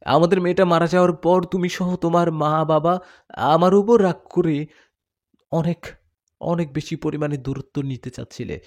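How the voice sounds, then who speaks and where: A man's voice: fairly high pitch, normal speed, darkish tone, pitched above the performer's own voice, lightly acted. A man voicing a devoted warrior jinn husband in an audio story, speaking in an earnest, dramatic, confessional way. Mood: sorrowful.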